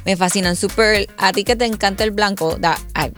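A woman's voice: medium pitch, brisk, a bit bright. A woman co-hosts a radio show and reads out news items plainly. Faint music sits behind her voice. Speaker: radio co-host. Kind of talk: casual radio chat, reading news items.

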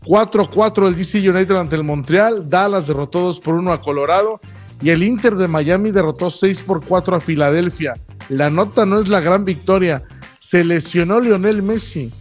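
Sports radio dude, high voice, rattles off scores and cracks jokes, faint music under. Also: male voice. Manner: brisk, joking, colloquial. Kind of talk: sports news rundown and banter.

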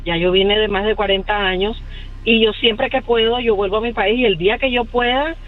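A middle-aged woman calling a radio show, talking in a frank, lively way. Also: mid-range voice, moderate pace. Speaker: caller. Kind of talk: phone-in radio call. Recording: dull phone-line call.

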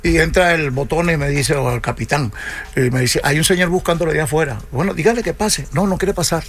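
An older man from Venezuela with a gravelly, creaky voice sits for a radio interview. He sits a touch high for a man and tells his stories at an easy pace, acting out the lines of the people he quotes. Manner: unhurried storyteller, acting out quoted dialogue. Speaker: salsa musician guest. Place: radio studio.

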